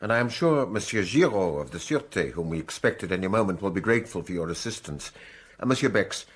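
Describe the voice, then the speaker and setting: An actor playing a courteous but officious examining magistrate in a radio drama, with fussy, formal politeness. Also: male voice, deep voice, animated, fast. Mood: polite, formal.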